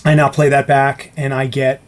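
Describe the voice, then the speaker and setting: A man's voice: medium pitch, completely flat delivery, moderate pace. A man talking casually as a music producer, walking through a gear demonstration. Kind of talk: casual explanatory tutorial talk.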